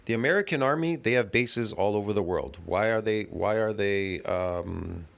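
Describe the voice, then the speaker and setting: Brash, rambling man with a low voice. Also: normal speed, vocal fry. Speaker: channel host reacting to videos. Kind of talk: casual reaction commentary. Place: at home.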